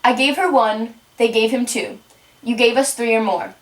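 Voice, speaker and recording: A woman's voice: a little high, moderate pace, close to an everyday voice. A woman voicing an officious, deferential rabbit herald in an amateur puppet show, reciting formally. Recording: roomy, distant microphone.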